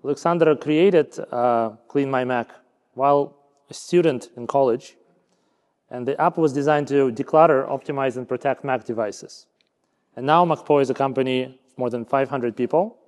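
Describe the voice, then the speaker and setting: A man's voice: mid-range voice, normal speed, a little mellow. Man moderating in a reverberant hall. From Ukraine, raised in Canada. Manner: smooth, prepared introductions. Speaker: panel moderator. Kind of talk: stage interview on a panel. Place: conference stage.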